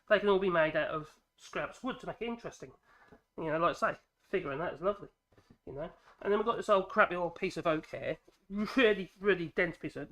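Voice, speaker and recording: A man's voice: high-pitched, bright, forceful tone. Stuffy-nosed man chatting in his workshop. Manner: rambling, chatty, self-deprecating jokes. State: congested with a cold. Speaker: woodworking channel host. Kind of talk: casual workshop vlog chat. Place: home woodworking workshop. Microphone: microphone hung from a ceiling clamp.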